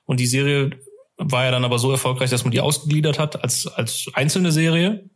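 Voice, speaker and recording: A man's voice: mid-range voice, steady tone, a little fast. A man chatting casually as a podcast guest, telling anecdotes in a colloquial, rambling and often amused way. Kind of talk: casual interview chat, telling personal anecdotes.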